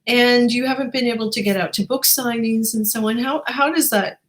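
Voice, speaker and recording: A woman's voice: a little high, brisk. A woman hosting a casual interview in an encouraging, affirming manner. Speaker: interviewer and host.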